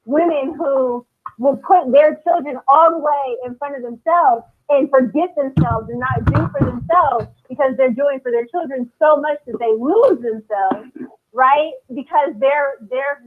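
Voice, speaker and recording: Female discussion speaker, American, high-pitched. Earnest, reflective, deliberate; narrow phone-like sound. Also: a little hiss or hum. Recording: thin phone-like call audio.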